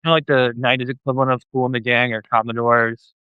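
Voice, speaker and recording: A man's voice: mid-range voice, flat delivery, fast, clean tone, dry recording, phone-quality sound. A man hosting a podcast, chatting casually about music and often searching for words. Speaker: podcast host.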